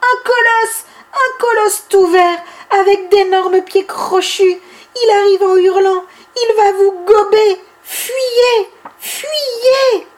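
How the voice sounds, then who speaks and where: A woman's voice: high voice, sing-song, takes it slowly, a little mellow, full character voice. A woman voicing a greedy, tricky little male wolf cub who shrieks false alarms. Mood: alarmed shrieking.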